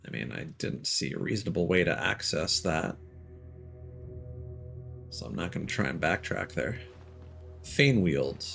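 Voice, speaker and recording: A man's voice: low-pitched, expressive, a little slow, vocal fry, long pauses, fairly bright tone, soft background music. A man streaming a video game and chatting casually as he plays, thinking aloud with fillers and small laughs. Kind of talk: casual live gameplay commentary.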